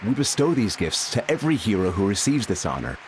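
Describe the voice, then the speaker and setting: A man's voice: fairly low pitch, very animated, a little fast, slight background noise, rough recording. A man playing a proud, dignified ceremonial figure in a game, speaking in a formal, declamatory way. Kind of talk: video game cutscene acting.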